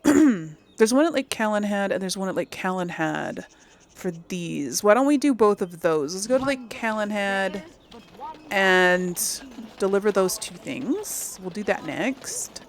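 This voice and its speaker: A woman talks her way through a video game, thinking aloud in a chatty ramble. Her pace is unhurried, while her pitch moves around a lot. She sounds easily amused and casual. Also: lively intonation. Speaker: gameplay host.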